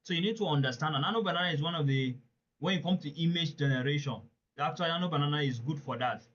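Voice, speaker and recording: A man's voice: fairly high pitch, quick pace, fairly bright tone, highs cut off. A man presenting a live webinar, talking earnestly and emphatically, often turning to listeners with direct questions.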